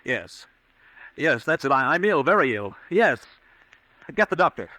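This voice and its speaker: An actor playing a tormented, halting and agitated man who doubts his own sanity, in old-time radio suspense style. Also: on the high side, very animated, pushed and bright, no room sound, heavily acted. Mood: flustered, evasive.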